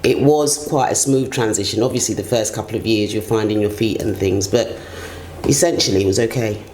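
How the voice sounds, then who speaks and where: A woman's voice: extremely low pitch, fairly quick, darkish tone. A middle-aged woman, giving reflective, conversational answers as an interview guest. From Ghana and the UK. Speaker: interview guest, senior HR executive. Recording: roomy echo, clear background noise.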